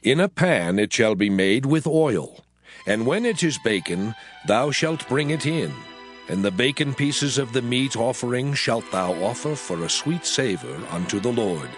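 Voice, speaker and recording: A man of medium pitch reads scripture solemnly and declaratively. His intonation moves widely through the archaic phrases, with music clearly playing behind him. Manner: measured, declarative, archaic King James diction. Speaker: scripture reader. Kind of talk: dramatized, solemn scripture reading.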